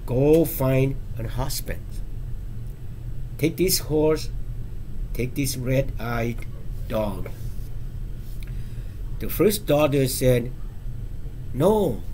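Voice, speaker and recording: A man reading a picture book aloud to children, with halting, simple phrasing and casual asides to check that listeners follow. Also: medium pitch, lively intonation, warm tone, poor audio. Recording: faint background noise.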